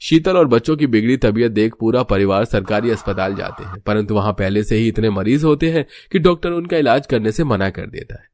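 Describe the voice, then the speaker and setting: A man's voice: on the low side, fairly quick, hardly any pauses, darkish tone, no room sound. A man narrator reading a scripted story in a storytelling style.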